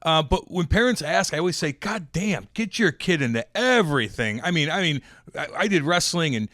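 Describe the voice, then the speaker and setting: A brash, joking man co-hosts a podcast and swears freely as he talks. His voice sits a bit high. The sound is dry and close, with no room echo. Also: lively intonation, normal speed, fairly bright tone. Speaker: podcast co-host. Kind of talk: casual banter.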